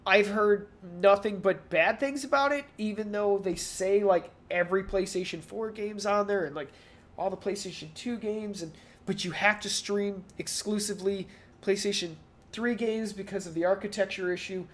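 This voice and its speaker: A man's voice: high-pitched, fairly even intonation, moderate pace. A man co-hosting a casual talk show about video games, thinking aloud in rambling analysis and slipping into mock quotes. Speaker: podcast co-host. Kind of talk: casual video game industry discussion.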